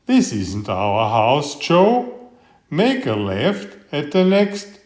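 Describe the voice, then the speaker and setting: A man voicing an eager, earnest young boy in a children's storybook, with an excitable manner. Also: a little high, extremely slow, echoey room, full character voice.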